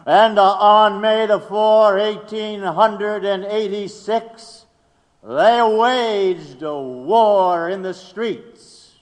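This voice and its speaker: An actor playing a forceful, declamatory prosecutor in a historical courtroom audio drama. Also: male voice, sits high, very slow. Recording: long hall reverb.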